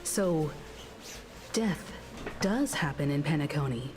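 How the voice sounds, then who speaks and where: A composed, perceptive woman in a story game. She speaks slowly in a slightly low register, with clear music playing behind her. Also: lively intonation. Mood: thoughtful. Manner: composed, deliberate, analytical. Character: composed, perceptive adult woman travelling companion. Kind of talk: video game story voice acting.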